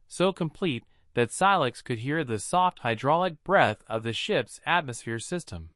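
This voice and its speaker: A man narrating a scripted science fiction story in a dramatic, deliberate manner, in short measured phrases. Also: mid-range voice, fairly bright tone, no echo, studio quality.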